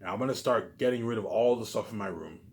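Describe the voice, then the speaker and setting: A very quick-talking man with a slightly low voice rambles informally, like a vlogger thinking aloud. He hits phrases hard, then drops into muttered tags, often repeating and stumbling over his own words. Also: creaky, darkish tone. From Canada. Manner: repetitive, halting, with habitual trailing tags; emphatic, profane bursts dropping to muttered asides.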